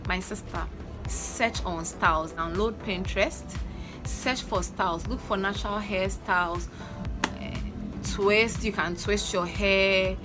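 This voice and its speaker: A woman's voice: medium pitch, unhurried. A young woman with a Ghanaian accent giving earnest, coaching advice in a casual vlog, repeating her points. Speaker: natural hair vlogger. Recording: music playing under the voice. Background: background music.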